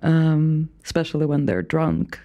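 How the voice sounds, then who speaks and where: A musician guest in a radio interview, speaking slowly and haltingly with fillers. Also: mid-range voice, lively intonation, warm tone, highs cut off. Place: radio studio.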